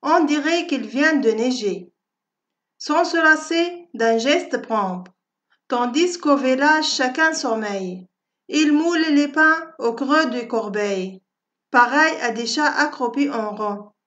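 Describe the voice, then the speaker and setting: A woman reciting a poem for schoolchildren in a measured, deliberate, carefully phrased way. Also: high voice, animated, fairly bright tone. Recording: dull, lo-fi audio.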